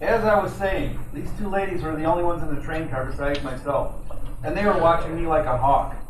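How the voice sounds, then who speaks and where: A man's voice: on the high side, brisk, natural voice. A man playing a wisecracking, streetwise 1940s private detective in old time radio style, telling his story in slang. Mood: recounting. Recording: echoey, distant room with loud noise.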